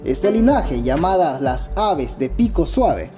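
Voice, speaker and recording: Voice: mid-range voice, sing-song, slow pace. A narrator giving a scripted documentary-style account with a dramatic, opinionated storytelling manner. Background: background music.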